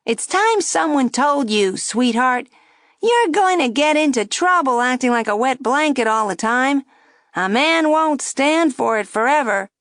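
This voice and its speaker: A brash wooden dummy with a cheeky, nasty streak, as a woman puts him on, pitched high and loud above the table talk. The voice swoops around with mocking lilts, and every insult is thrown out for the whole room to hear. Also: animated, unhurried, no echo, studio quality, heavily acted. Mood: taunting. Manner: loud, taunting, jeering. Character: rude brash male ventriloquist's dummy, insulting.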